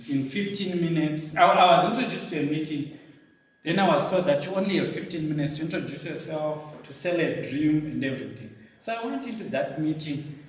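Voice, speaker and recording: A man's voice: fairly high pitch, a little hiss or hum. Zimbabwean man speaking in an echoey hall. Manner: storytelling, animated, laughing at himself. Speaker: guest speaker. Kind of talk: motivational speech at a luncheon. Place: event venue hall. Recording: very echoey hall, mic set far off.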